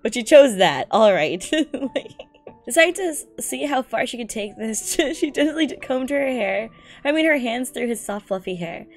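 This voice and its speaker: Young female streamer, non-native English speaker, very lively pitch swings, slangy exasperated commentary over music. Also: normal speed. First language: not English. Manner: animated, exasperated, giggly, slangy, profane. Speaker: streamer reacting to fan fiction. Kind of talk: casual commentary while reading aloud.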